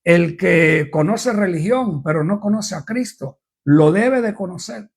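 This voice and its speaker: A man's voice: high voice, expressive, a little slow, creaky, breathy edge. An older man from Cuba, preaching informally on a live program, rambling through his testimony and repeating refrains.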